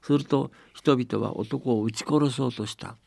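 Male storyteller-host, very gravelly, dry close sound, folksy chatty delivery between narration and commentary. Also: mid-range voice, fairly even intonation, a little slow, warm tone, no room sound. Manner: folksy, chatty, seeks agreement with "ne". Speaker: host reading and explaining folktales on a radio programme.

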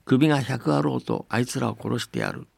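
A man's voice: mid-range voice, wildly swooping pitch, fairly quick, gravelly, darkish tone, slightly rough, no room sound, exaggerated voice. A man voicing a commanding, murderous old witch mother in a storybook tale. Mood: stern, vengeful.

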